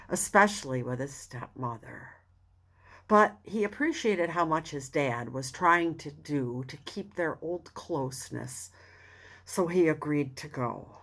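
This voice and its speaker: A woman reading a self-help book aloud as narrator, earnest and deliberate, with expressive emphasis and pauses. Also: fairly low pitch. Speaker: audiobook reader.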